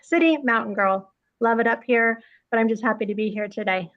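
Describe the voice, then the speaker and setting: A woman from California greets everyone affectionately on a video call. Her voice is fairly high, and she sounds distant and muffled. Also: a little fast. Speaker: guest.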